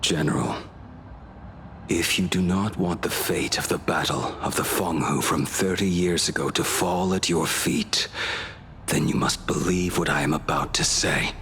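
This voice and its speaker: A man playing a grim, haunted outlaw swordsman in a game cutscene, brooding and solemn, with a gravelly voice. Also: a little slow, fairly bright tone, slight background noise. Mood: grave, resolute. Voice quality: very deep, gravelly. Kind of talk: dramatic game cutscene acting.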